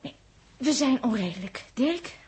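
A high-voiced, spirited, sharp-tongued space traveller. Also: female voice, expressive, unhurried, husky, exaggerated voice. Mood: questioning. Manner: teasing, indignant, questioning. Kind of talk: 1960s Dutch radio drama acting.

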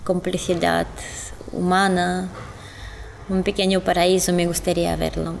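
A woman with a fairly low voice speaks a little slowly, with halting non-native phrasing. She reflects casually and thoughtfully. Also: little pitch movement, creaky, slight background noise.

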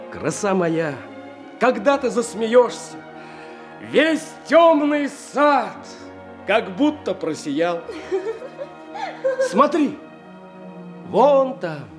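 An actor playing an ardent, bold young prince in love, in a romantic, declamatory radio play style. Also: male voice, high voice, full character voice. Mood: elated. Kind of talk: theatrical radio play acting. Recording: music playing behind the voice.

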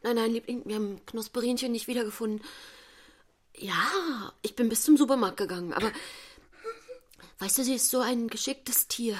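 A woman's voice: on the high side, normal speed, long pauses, lightly acted. An actress playing an earnest, self-righteous mother who is easily outraged, speaking emphatically in a stage-like drama. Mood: soothing motherly.

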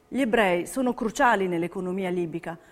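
A woman's voice: on the low side, fairly quick. A woman delivering scripted theatrical narration in an emphatic, declamatory way. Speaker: stage narrator.

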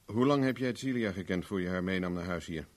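Male actor for a hard-nosed detective in vintage radio drama: lowish voice, commanding, coolly sardonic. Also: fairly quick, natural voice. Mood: dry probing. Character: tough, relentless male private investigator, interrogating coolly. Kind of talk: 1970s Dutch radio drama acting.

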